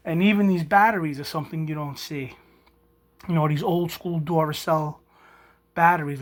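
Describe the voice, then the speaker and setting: A man's voice: on the high side, a bit bright. A man, casually showing an old item and rambling with many restarts. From New York. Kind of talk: casual show-and-tell.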